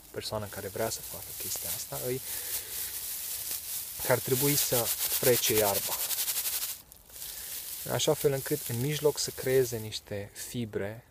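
A man in his thirties from Romania, explaining bushcraft in a relaxed, casual way in leafless woods, with fillers and short laughs. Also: mid-range voice, background noise. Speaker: bushcraft vlogger. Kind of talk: casual outdoor tutorial. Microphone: camera close, handheld then set on ground.

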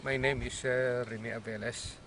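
A man's voice: mid-range voice, steady tone. An older man with a Filipino accent, speaking hesitantly outdoors as a captive seaman. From Philippines. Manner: hesitant, halting. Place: outdoors among bushes. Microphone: camera a short distance away. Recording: noisy outdoor camcorder.

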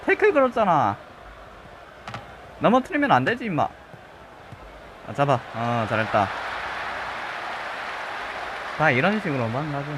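A man with a regional Korean accent chatting casually over a football video game, his voice a little husky from just waking up, breaking into loud excited shouts. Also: fairly high pitch, moderate pace, pauses often, noisy background. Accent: regional Korean dialect. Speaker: gaming channel host. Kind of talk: live gameplay commentary. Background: game music.

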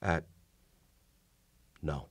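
An actor playing a wounded, gentlemanly soldier in a radio drama, narrating and speaking in a grave, formal, courteous manner. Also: male voice, drawn-out words. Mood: grave, resigned. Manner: formal, grave, old-fashioned courteous speech. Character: wounded gentlemanly soldier recounting his haunted, doomed past. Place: small indoor radio studio. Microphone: shared vintage-style studio mic on stand.

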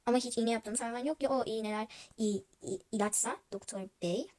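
A woman's voice: a little high, brisk, a little mellow, pitched below the performer's own voice, natural voice. A shifty male doctor in a toy roleplay story, put on by a woman. He pleads and wheedles, offering excuses in an evasive manner.

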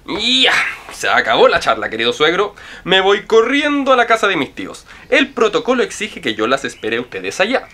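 An actor playing a flippant, idle, money-grubbing young fiancé in a radio drama. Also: male voice, high voice, wildly swooping pitch, normal speed, sharp tone, slight background noise, full character voice. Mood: breezy, scheming.

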